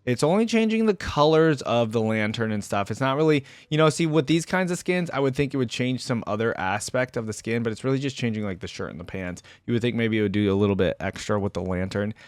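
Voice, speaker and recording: A man's voice: medium pitch, lively intonation, quick pace, hardly any pauses, dry recording. A man giving casual, informal reaction commentary on game character skins, thinking aloud as he goes. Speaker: reaction video host.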